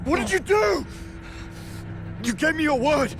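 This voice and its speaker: Desperate young game spy, very high pitch, creaky, urgent, strained. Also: male voice, lively intonation, unhurried, over music, heavily acted. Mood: desperate, angry. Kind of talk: cinematic video game acting.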